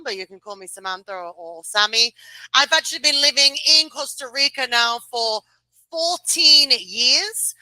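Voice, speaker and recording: A woman speaking as a guest on a video call, chatty and quick to laugh, with frequent uhs. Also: sits high, bright, forceful tone, no room sound, rough recording. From United Kingdom. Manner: chatty, laughs easily, fillers. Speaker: guest, client relations director. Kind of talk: casual interview chat.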